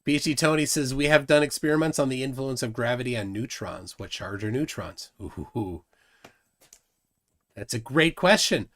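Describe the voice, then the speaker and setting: A man's voice: medium pitch, normal speed, fairly bright tone. A man hosting a casual livestream, reading out viewer comments and laughing often. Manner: chatty, reads chat comments aloud, laughs often. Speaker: livestream host.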